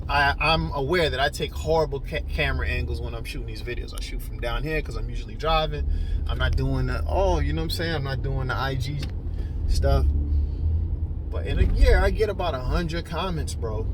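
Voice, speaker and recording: A man's voice: medium pitch, noisy background. A man in his early forties chatting casually to camera as a video blogger, in a slangy, joking, conversational way. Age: early 40s.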